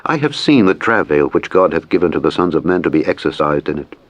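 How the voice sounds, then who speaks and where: A man reading scripture as a solemn audiobook, in a measured, formal, declamatory manner. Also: deep voice, very animated. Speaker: scripture reader. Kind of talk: solemn Bible audiobook narration. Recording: dull, muffled, lo-fi audio.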